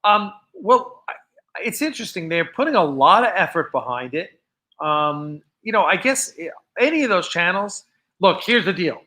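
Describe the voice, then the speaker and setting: Bright, high-pitched American man chatting live. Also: very animated. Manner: rambling, halting, often trailing off. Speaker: host of a live fan show. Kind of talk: casual unscripted livestream chat.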